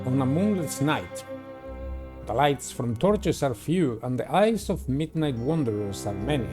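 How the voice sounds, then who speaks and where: A man with a medium-pitched voice hosts a game playthrough. He comments and reads the game's text aloud, with music clearly playing behind him. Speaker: gaming channel host.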